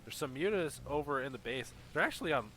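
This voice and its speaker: A man casting a strategy game, giving steady play-by-play in a relaxed way, from a mic set a little away from him. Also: mid-range voice, fast, background noise. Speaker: esports caster. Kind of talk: live game commentary. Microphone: desk mic set a bit far away.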